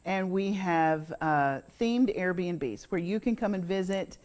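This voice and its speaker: Female, middle-aged, American. Slightly low voice, casual interviewee explaining her business. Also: slow, a little mellow. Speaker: business owner being interviewed.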